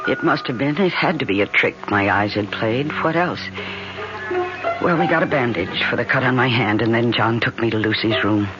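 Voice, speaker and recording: A woman's voice: very deep voice, normal speed. An actress playing an anxious, earnest young woman who acts in and narrates a radio horror drama with tremulous, dramatic delivery. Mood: haunted. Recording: dull old radio recording with loud music.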